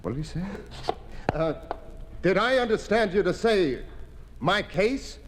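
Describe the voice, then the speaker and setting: An earnest formal politician in an old 50s radio play, noisy clicky recording. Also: male voice, sits high, sing-song, a little slow. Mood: indignant. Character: indignant senator, steady leader of opposition farm party. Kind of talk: 1950s radio drama acting.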